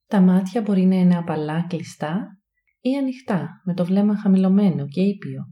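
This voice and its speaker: A woman leading a guided body scan meditation in a calm, soothing, hushed way, leaving long pauses between short phrases. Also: on the low side, muffled recording.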